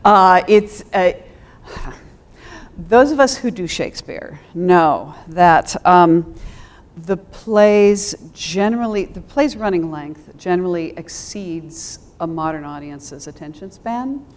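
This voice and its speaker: Lower-voiced woman, reflective and halting. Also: expressive. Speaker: theater director and Shakespeare adapter on a discussion panel. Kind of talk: thoughtful panel discussion.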